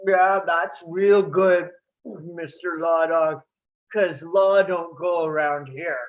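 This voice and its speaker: An actor playing a drunken, taunting cowboy bully in a live western table read, with dull lo-fi sound. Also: male voice, on the high side, fairly even intonation, slow pace.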